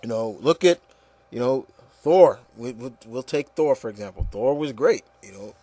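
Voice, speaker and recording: A man's voice: medium pitch, moderate pace, dark tone, no room sound. A man hosting a casual, opinionated discussion, speaking emphatically and repeating phrases to drive points home. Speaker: podcast host.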